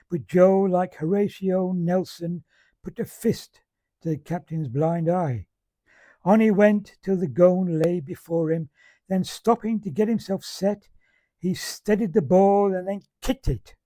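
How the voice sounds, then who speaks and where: Dark, husky man reciting. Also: fairly high pitch, dry recording. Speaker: amateur monologue reciter. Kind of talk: recited comic verse monologue.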